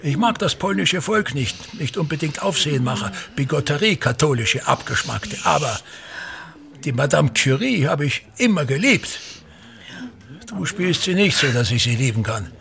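Radio play casting: ageing stage despot, high-pitched for a man, gravelly, declamatory, contemptuous, nagging his wife. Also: sing-song, normal speed, bright, forceful tone, heavily acted. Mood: scornful, haughty. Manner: declamatory, contemptuous, obsessively repetitive. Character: pompous, tyrannical ageing actor-manager berating his wife. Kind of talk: theatrical German radio drama monologue.

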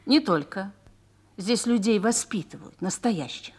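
Radio play role: seasoned garrison wife, motherly mentor, earnest and persuasive, very expressive melody. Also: medium pitch, a little slow, slightly rough. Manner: earnest, persuasive, instructive storytelling. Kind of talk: Russian radio play dialogue.

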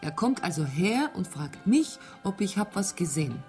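Radio play casting: evasive, irritable Czech-accented woman, curt and defensive, mid pitch, music behind her. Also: very animated, moderate pace, a little mellow. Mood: defensive, irritated. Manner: non-native German grammar, curt, defensive. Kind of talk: radio drama dialogue.

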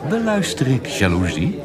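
A man's voice: medium pitch, huge pitch swings, unhurried. An actor in a Dutch radio play playing a wry, loyal and slightly bashful male companion in the 1790s. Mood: wry, amused. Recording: busy crowd chatter behind the voice. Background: loud tavern crowd.

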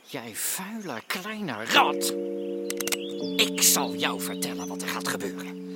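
An actor in an audio drama playing a greedy, vengeful crime boss who threatens, sneers and demands. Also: male voice, mid-range voice, sing-song, takes it slowly, loud music behind the voice. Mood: furious, demanding.

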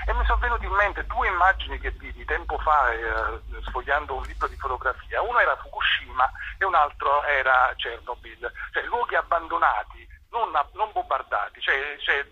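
A man answering as a theatre director in an informal radio interview, haltingly, often repeating words as he searches for the next one. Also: on the high side, pushed and bright, breathy edge. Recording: dull, band-limited radio or phone line.

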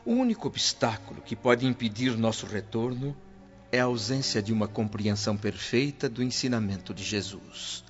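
Muffled, measured actor as a wise male spirit. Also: fairly low pitch, animated, natural voice. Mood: serene, earnest. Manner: measured, instructive, formal. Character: wise, serene male spirit teaching forgiveness. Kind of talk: radio drama acting. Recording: dull lo-fi audio with music.

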